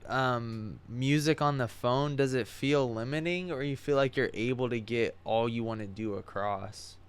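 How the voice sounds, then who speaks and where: Relaxed male interviewer, smooth voice. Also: mid-range voice, normal speed. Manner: relaxed, short affirming replies. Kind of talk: casual interview chat.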